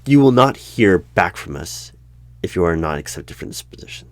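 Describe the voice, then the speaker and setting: A man in an ASMR roleplay as the stern hiring manager of a secret bureau, with deadpan questioning that breaks into sudden loud bursts and whispers. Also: deep voice, normal speed, no echo. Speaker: interviewer in a roleplay.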